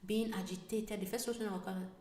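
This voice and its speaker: A woman vlogger telling a personal story in a casual, animated way, sometimes dropping to a whisper. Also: mid-range voice, fast talker, recorded from a distance. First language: not Italian. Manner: animated, drops to a whisper for asides. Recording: echoey room, voice away from mic.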